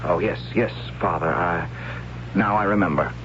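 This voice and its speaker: A man's voice: deep voice, normal speed, dull audio, slight background noise. An actor playing a simple, cheerful but inept young man, hesitant and artless, in a 1970s radio drama. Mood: hesitant, submissive. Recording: band-limited old radio broadcast, loud music bed.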